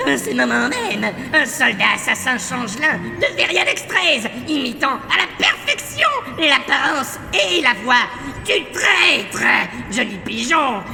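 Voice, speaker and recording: A woman's voice: high-pitched, very animated, measured pace, creaky, few pauses, sharp tone, exaggerated voice. A woman playing a theatrical, gloating female villain who cackles and lays out her evil scheme in an audio comedy serial. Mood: smug. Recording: echoey hall reverb, music behind.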